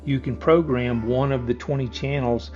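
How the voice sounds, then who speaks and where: A man's voice: mid-range voice, fairly even intonation, normal speed, a little mellow, dull audio, faint music behind. A man giving a casual, plain-spoken hands-on product walkthrough, explaining things step by step. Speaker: product reviewer and demonstrator.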